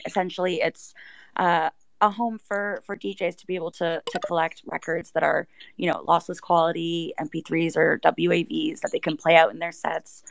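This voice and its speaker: A woman guest with a lowish voice and noticeable creak gives a casual explanation. Her phrasing is loose and conversational, and the sound is dry with little room. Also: no echo. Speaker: project team member, interview guest. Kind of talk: casual explanatory interview talk.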